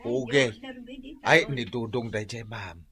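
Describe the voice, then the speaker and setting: A man's voice: medium pitch, wildly swooping pitch, slow pace, gravelly, a crowd in the background, exaggerated voice. A man acting an older, teasing Filipino driver with an Ilocano accent in a comedy radio drama. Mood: friendly chatting. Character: older male jeepney driver, teasing confidant of a lovesick friend.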